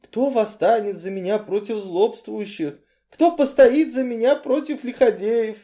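Guy doing a scared meek man, pleading and trembly, highish voice that swings a lot. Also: very animated, measured pace, warm tone. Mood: plaintive prayer. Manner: plaintive, trembling, questioning.

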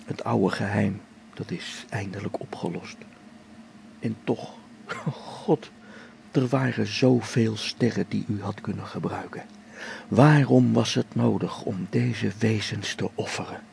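An actor playing a middle-aged male space explorer whose faith is shaken, speaking a brooding, halting radio play log that sinks to hushed whispers. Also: fairly low pitch, slight background noise. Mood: hushed, somber. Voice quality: gravelly. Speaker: log-keeping space expedition member.

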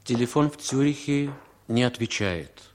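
A man's voice: mid-range voice, lively intonation, moderate pace, slight background noise. A man giving dramatic scripted narration for a 1960s Soviet radio play. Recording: 1960s radio recording with loud music.

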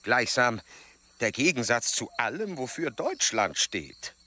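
An actor playing an earnest, proud, meticulous male German explorer in a radio drama, speaking in formal, precise, measured diction. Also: medium pitch, big pitch swings, pushed and bright, a little hiss or hum. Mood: grave, pondering.

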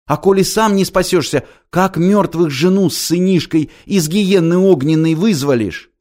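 A man doing a shrill ecstatic holy fool. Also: moderate pace, a little mellow, natural voice. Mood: ranting. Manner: wheedling, ecstatic, calls himself by name. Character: shrill ragged male holy fool preaching doom.

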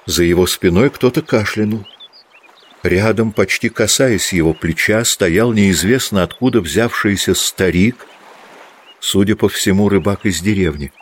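A man's voice: lowish voice, a little slow. A man reading scripted literary narration as the narrator of an audio drama.

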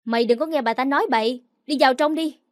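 A woman's voice: high-pitched, fast talker, no room sound, clean studio sound, close to an everyday voice. A woman doing a blustering, hot-tempered brother. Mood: defensive. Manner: defensive, blustering. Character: callous, hot-tempered older brother, young man.

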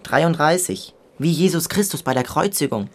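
Young teen boy, husky low voice, know-it-all big brother, careful, radio drama. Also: normal speed. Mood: lecturing. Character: cautious, lecturing thirteen-year-old medieval boy, big brother. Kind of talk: German radio drama acting.

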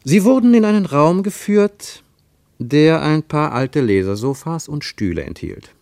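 A man narrating a radio drama in a measured, expressive literary storytelling manner. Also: on the high side, dry recording, studio quality.

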